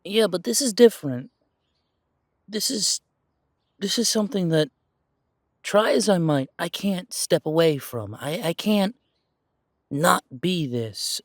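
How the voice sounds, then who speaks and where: A man acts out a pure-blood werewolf full of self-doubt in an intimate audio drama. His voice sits a little high for a man and is recorded very dry. He talks haltingly, tripping over words, defensive and unsure of himself. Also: animated, dry recording, heavily acted. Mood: agitated, defensive. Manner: hesitant, halting, stammering. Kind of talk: audio roleplay drama, one side of an intimate conversation.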